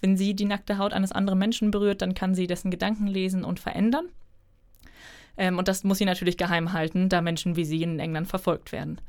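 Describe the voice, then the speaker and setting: A woman's voice: lowish voice, little pitch movement, quick pace, darkish tone. A young woman in her twenties, a German author, answering interview questions in a chatty, thoughtful way with frequent fillers. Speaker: author guest.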